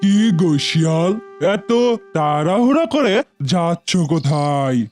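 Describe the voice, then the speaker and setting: A man plays a big, mighty cartoon beast with a fairly high male voice and very lively intonation. The animal sounds amiable and proud of itself, with faint music behind. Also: a little slow. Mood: friendly. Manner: amiable, boastful. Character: big strong male bear or elephant, friendly, boastful. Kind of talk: animated fable dialogue.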